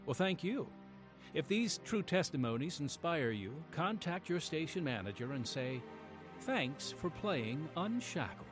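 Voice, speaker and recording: A man reading a scripted radio announcement as program host, with an earnest, measured delivery. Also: a little high, big pitch swings. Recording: music bed behind the voice. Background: music.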